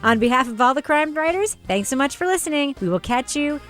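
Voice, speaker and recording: A woman with a fairly high voice hosts a casual chat show. She ribs people playfully and reads out sign-off notes, with faint music behind her. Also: expressive, brisk, a bit bright. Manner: teasing, playful ribbing. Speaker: podcast host.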